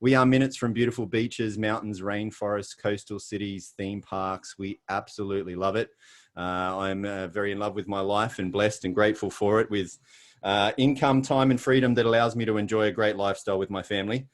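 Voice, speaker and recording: Chatty Australian man, lowish voice. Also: steady tone, brisk. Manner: chatty, jokey, self-deprecating. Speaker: guest presenter. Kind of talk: webinar presentation. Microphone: video call.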